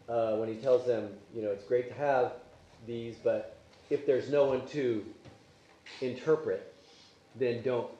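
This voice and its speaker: A man's voice: medium pitch, warm tone, highs cut off, a little hiss or hum. Give me a man teaching Sunday school in an echoey room. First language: Polish. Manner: conversational, asks the class questions. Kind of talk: Bible class teaching. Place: church classroom.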